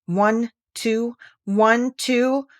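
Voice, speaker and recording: A woman's voice: a little high, steady tone, extremely slow, no room sound. Woman narrating a storybook, very dry sound. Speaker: audiobook reader.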